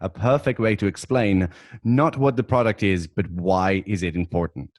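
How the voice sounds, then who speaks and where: A man's voice: low voice, moderate pace, no room sound, clean studio sound. A man whose first language is Italian hosts a casual podcast chat over a video call, enthusiastic and laughing often. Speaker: podcast host.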